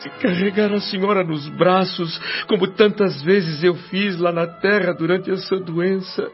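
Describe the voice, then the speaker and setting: A man's voice: high-pitched, fairly even intonation. An actor with a Brazilian accent playing the anguished spirit of a dead man, a devoted son, in an emotional, plaintive radio drama style. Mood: anguished lament. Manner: slow, emotional, plaintive delivery. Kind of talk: melodramatic radio drama acting and narration. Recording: muffled radio drama with music behind.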